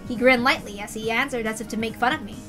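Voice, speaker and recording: A woman narrating a visual novel aloud in an expressive, theatrical way. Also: a little high, fast, soft background music. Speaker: reader and narrator.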